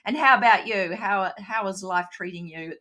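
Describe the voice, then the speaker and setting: A woman's voice: medium pitch, normal speed. A woman with a New Zealand accent, a little nasal from hay fever, co-hosting a casual chat and talking animatedly over a remote call. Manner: animated, frequent restarts, acts out quoted voices. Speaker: podcast co-host.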